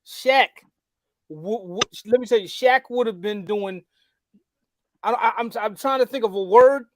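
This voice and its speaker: A man with a high voice argues basketball in a casual debate with friends. He is animated and repeats phrases as he builds his case. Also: unhurried, noisy background. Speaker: podcast co-host.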